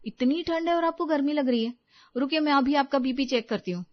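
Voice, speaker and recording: A woman voicing an anxious, fussing, caring young daughter-in-law in an animated family story, fretful and insistent. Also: high voice, fairly quick, lo-fi sound, natural voice.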